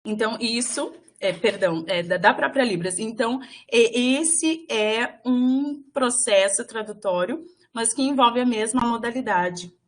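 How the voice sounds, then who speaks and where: Woman interpreter, fairly high voice, halting phrasing, lots of fillers, live lecture interpreting. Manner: halting, with frequent fillers and restarts while interpreting. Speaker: sign language voice interpreter for a workshop.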